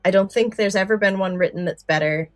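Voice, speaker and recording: A woman's voice: medium pitch, fairly quick, no echo, studio quality. A woman co-hosting a podcast in casual, enthusiastic chat, gushing and informal in her wording. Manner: informal, chatty, uses "like" often. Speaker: podcast co-host. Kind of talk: casual chat about films and scary stories.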